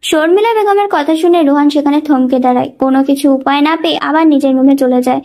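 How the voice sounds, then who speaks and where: A woman's voice: sits high, quick pace, mellow. A woman reading a scripted romantic story as a dramatic narrator.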